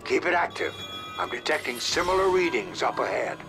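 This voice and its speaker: An actor playing an authoritative male commander giving formal, measured mission briefings in a video game. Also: on the high side, expressive, close to an everyday voice. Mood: measured, serious. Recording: loud music under the voice.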